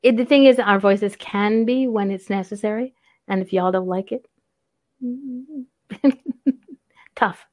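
A woman's voice: mid-range voice, slow, pauses often, a little mellow, no room sound. A woman in a casual chat, playful and teasing, laughing often.

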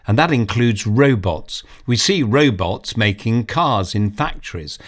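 A man reading a children's story aloud, narrating in an expressive, measured way. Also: on the low side.

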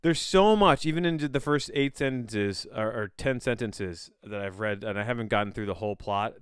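A man hosting a solo podcast, chatting in a rambling, halting way, often backtracking mid-sentence with a bemused, self-deprecating manner. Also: lowish voice, fairly bright tone, clear, resonant tone, no room sound, studio quality. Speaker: podcast host.

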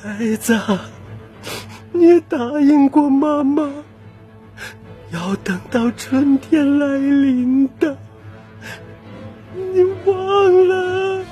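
A woman voicing a tender, sorrowful, self-sacrificing mother wolf, gently coaxing and soothing in an audio drama. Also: high voice, faint music behind, pitched above the performer's own voice. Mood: anguished love. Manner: slow, gentle, coaxing speech with sighs.

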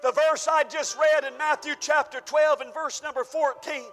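Male pastor, fervent shouting preacher, strained voice, bright edge, echoing church hall. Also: very high voice, steady tone, moderate pace, soft background music. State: losing his voice. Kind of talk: sermon.

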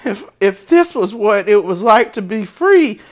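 A man's voice: high-pitched, very animated, unhurried, darkish tone, pitched above the performer's own voice. A man voicing a frightened, childlike young male pig in a children's storybook, plaintive and worried. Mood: bewildered.

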